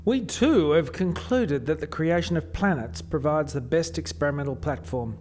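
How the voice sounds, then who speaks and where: An actor playing a keen, probing alien assessor from an institute, formal and inquisitive, in a radio play. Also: male voice, a little high, normal speed, darkish tone, a little hiss or hum, close to an everyday voice. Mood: probing, impressed.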